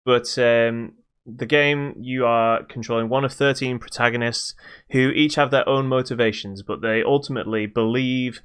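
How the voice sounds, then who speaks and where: Guy on a gaming podcast, really clean smooth voice, mid pitch, chatty and jokey. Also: fairly even intonation, normal speed, a bit bright. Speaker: podcast co-host. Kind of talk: casual chat about games.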